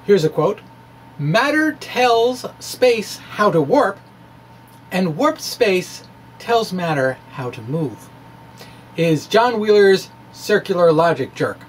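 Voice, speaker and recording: A middle-aged man giving a dramatic reading of a science blog, with deliberate, emphatic phrasing and informal asides. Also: high voice, big pitch swings, slight background noise, low-quality recording. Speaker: presenter, science blogger.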